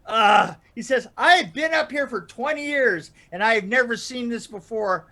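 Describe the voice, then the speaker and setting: An older man telling anecdotes casually in a rambling, halting way, chuckling as he goes. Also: extremely high pitch, very noisy. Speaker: podcast storyteller guest. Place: indoor room on a video call.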